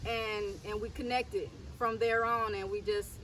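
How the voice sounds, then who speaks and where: A woman's voice: a little high, measured pace, a loud crowd, low-quality recording. A woman from South Los Angeles, a rapper, talking casually in an interview with easy fillers and repeats. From South Central Los Angeles. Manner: relaxed, repeats words, many fillers.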